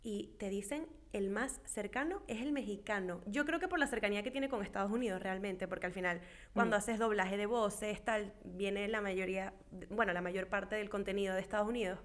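A woman's voice: medium pitch, a little fast. A woman from Venezuela, talking emphatically and reflectively in a casual conversation.

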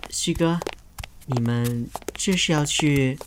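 A man's voice: a little high, takes it slowly, noisy background. A man playing an ingratiating young male actor, sweetly wheedling and falsely meek, in an audio drama. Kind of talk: Chinese audio drama acting.

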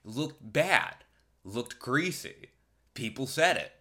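A man's voice: mid-range voice, lively intonation, slow pace, pushed and bright. A man hosting a podcast solo, ranting casually and comedically with sarcastic, profane, colloquial talk and acted-out imagined quotes. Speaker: podcast host.

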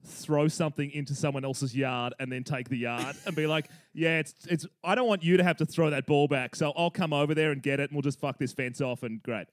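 A man's voice: fairly high pitch, steady tone, brisk, few pauses, dry recording. A young man co-hosting a comedy podcast, throwing in sarcastic quips. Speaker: podcast co-host. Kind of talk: comedic banter.

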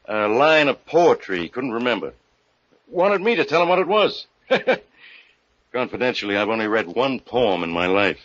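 A man's voice: mid-range voice, very animated, normal speed, fairly bright tone, no room sound. A man playing a blunt, wisecracking police inspector with slangy, hard-boiled delivery in 1940s radio mystery style. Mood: incredulous. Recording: muffled old-time radio recording.

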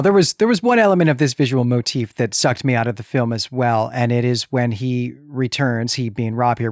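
A man's voice: mid-range voice, dry recording, studio quality. An American man from Chicago co-hosting a casual film discussion, thinking aloud and correcting himself as he goes. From Chicago, United States. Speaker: podcast co-host.

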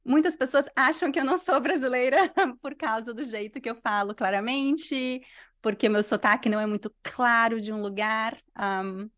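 A Brazilian woman with a mixed Brazilian Portuguese accent, a language teacher answering interview questions in clear, unhurried speech. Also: a little high, steady tone, a bit bright. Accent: Brazilian Portuguese, mixed regional. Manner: clear, unhurried diction, completes every phrase.

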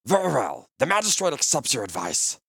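A man's voice: mid-range voice, wildly swooping pitch, fairly quick, vocal fry, fairly bright tone, husky, dry recording, clean studio sound, voice pushed up, heavily acted. A man voicing a sly, mocking little goblin with oily, fawning, questioning speech in a fantasy audiobook. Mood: deferential. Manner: obsequious flowery speech, all in questions.

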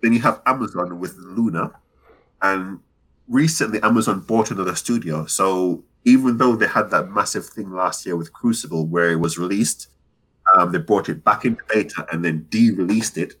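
Low husky guy on a gaming podcast, thinks out loud, keeps restarting. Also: moderate pace, rough recording. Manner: rambling, self-correcting, analytical. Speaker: podcast co-host. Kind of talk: casual gaming chat.

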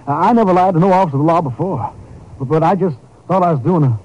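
Folksy rancher, kinda husky higher voice, hesitant, old radio show. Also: male voice, quick pace, darkish tone. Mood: sheepish, confessing. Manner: folksy, drawling colloquial, hesitant. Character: folksy rancher, hesitant friend covering for someone. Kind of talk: 1950s radio drama acting. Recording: dull 1950s radio recording.

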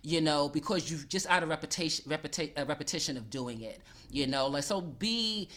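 A woman's voice: sits low, fairly quick, a bit bright. A woman co-hosting a casual advice chat, candid and laughing often, swearing freely and stretching out words for emphasis.

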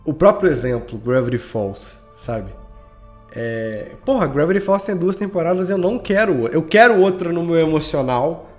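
Podcast guest: Brazilian male, slightly high-pitched, loose slangy conversational delivery, soft music bed. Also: lively intonation, normal speed. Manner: informal, slangy. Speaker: podcast creator being interviewed. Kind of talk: casual chat.

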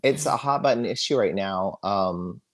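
A middle-aged man as a podcast guest, talking candidly and loudly, laughing often. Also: on the low side, animated, normal speed. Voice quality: loud voice. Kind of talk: casual interview chat.

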